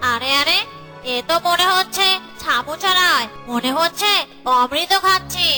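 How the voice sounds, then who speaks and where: Friendly cartoon monkey pal, shrill and very high, exaggerated wonder, faint music. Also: female voice, measured pace, sharp tone, exaggerated voice. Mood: amazed. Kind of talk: Bengali cartoon voice acting.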